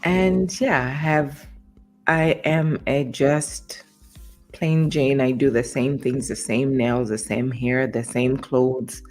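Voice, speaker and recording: A young adult woman co-hosting a casual talk show, light and playful, quick to laugh. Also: very deep voice, fairly even intonation, darkish tone, soft background music. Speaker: co-host. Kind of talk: casual chat.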